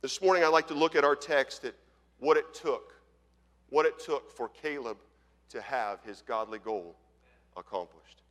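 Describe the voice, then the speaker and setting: Male pastor, 40s, from Colorado, sermon, chatty storytelling, bright tone, echoey hall. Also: medium pitch, moderate pace, pauses often. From Colorado, USA. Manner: storytelling, conversational, swings from loud to hushed. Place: church.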